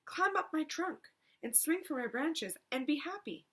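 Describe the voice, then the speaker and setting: A woman voicing a gentle, loving female tree in a storybook read-aloud, tender and giving. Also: high voice, animated, unhurried, voice pushed up. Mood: warm, generous.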